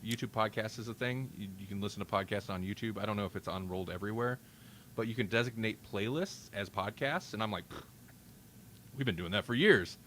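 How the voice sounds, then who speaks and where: A man's voice: fairly low pitch, a little fast, fairly bright tone, a little hiss or hum. A man hosting a casual podcast, talking in a rambling, wry way and often interrupting himself. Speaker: podcast host. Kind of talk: casual banter on a live-streamed podcast.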